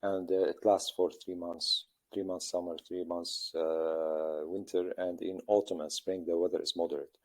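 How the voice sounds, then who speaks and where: A middle-aged football coach from Lebanon answering interview questions in halting English full of pauses and fillers. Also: male voice, deep voice, flat delivery.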